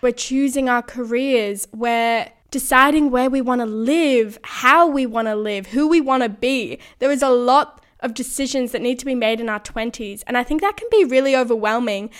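A woman hosting a solo podcast, her voice slightly croaky from being unwell, talking earnestly with frequent light laughs. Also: a little high, normal speed, few pauses. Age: 20s. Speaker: podcast host. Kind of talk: casual solo podcast monologue.

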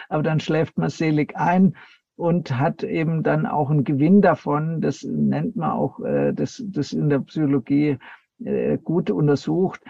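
A man's voice: a little high, creaky, warm tone, breathy edge, no room sound, dull audio. A man giving explanatory, hesitant interview answers as an expert guest. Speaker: expert guest, paranormal researcher and counselor.

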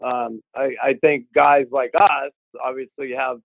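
An older man with a Boston accent, a guest on a podcast, telling stories in a gruff, casual way with frequent restarts. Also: mid-range voice, little pitch movement, measured pace, dry recording. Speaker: podcast guest, former hockey enforcer. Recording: tinny phone-like line, dry.

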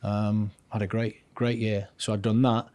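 A man's voice: lowish voice, level tone, moderate pace, a little mellow, no room sound. Older man telling anecdotes in a chatty way. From north-east England. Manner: chatty, rambling, restarts sentences, acts out quotes. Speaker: podcast guest, former goalkeeping coach. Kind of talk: casual anecdotal storytelling.

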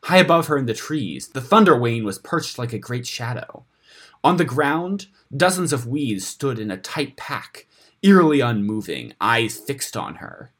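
A man narrates a fantasy story in a dramatic style. His voice sits at a middle pitch and has a husky, rough-edged texture. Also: sing-song, unhurried, fairly bright tone. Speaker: story reader on a podcast.

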